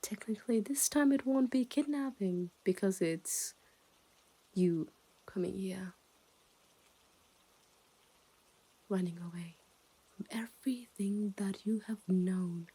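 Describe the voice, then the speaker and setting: Yandere kidnapper for ASMR roleplay, female performer: unhurried, possessive, smugly teasing, intimate, with frequent drops to a whisper. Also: mid-range voice, dark tone, breathy edge. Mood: coaxing. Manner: slow, teasing, often dropping into whispers.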